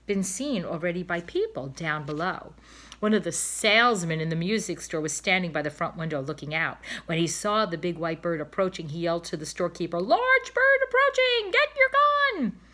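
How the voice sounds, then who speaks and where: A woman reading a children's story aloud expressively, in deliberate, clearly phrased narration. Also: lowish voice, sharp tone. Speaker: storybook reader.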